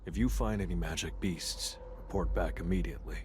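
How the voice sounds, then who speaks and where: A man's voice: low voice, fairly quick, background noise, lightly acted. An actor playing a cool, decisive young male hunter in a video game, speaking in a terse, composed way. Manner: terse, composed, matter-of-fact. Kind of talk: scripted dramatic game acting. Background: music.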